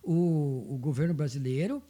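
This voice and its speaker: A man's voice: a little high, lively intonation, normal speed, mellow. A man with a southern Brazilian accent from Rio Grande do Sul, telling stories conversationally as an interview guest and repeating phrases for emphasis. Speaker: interview guest, folk dance group coordinator. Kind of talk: conversational storytelling.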